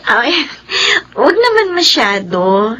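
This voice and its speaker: A woman acting a gentle, courteous young Filipino daughter of a wealthy household in a radio drama. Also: fairly high pitch, sing-song, takes it slowly, full character voice.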